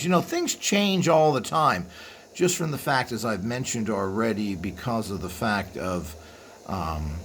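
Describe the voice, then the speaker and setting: A man's voice: mid-range voice, fairly bright tone. Rambling man explaining over background noise. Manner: rambling, halting with frequent trailing asides. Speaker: video host. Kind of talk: unscripted explanatory monologue. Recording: clearly audible background noise.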